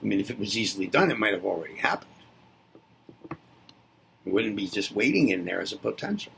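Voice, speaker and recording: A man's voice: lowish voice, very animated, brisk, vocal fry, a bit bright, slight background noise, poor audio. A man giving a reflective spoken lesson, musing aloud and asking himself rhetorical questions before answering them. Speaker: presenter.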